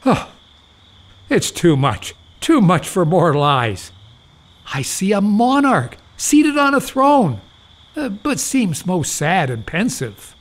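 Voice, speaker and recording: A man's voice: sits high, sing-song, exaggerated voice. Man playing a gullible old doctor, swooping and awestruck. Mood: awed, curious. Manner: rapturous, drawn-out exclamations, deferential. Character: gullible old male doctor, pompous, rapturous, easily awed. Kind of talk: theatrical farce acting in period English.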